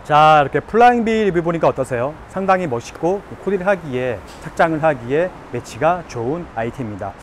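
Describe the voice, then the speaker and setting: Higher-voiced man reviewing outdoors. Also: lively intonation, a little fast, hardly any pauses, a little hiss or hum. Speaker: fashion review YouTuber. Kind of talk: presenting a product review to camera. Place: outdoors by a concrete wall on an urban street.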